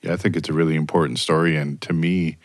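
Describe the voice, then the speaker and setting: Very deep-voiced man narrating. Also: little pitch movement, quick pace, no room sound. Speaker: documentary narrator. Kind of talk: scripted documentary narration and reflective commentary.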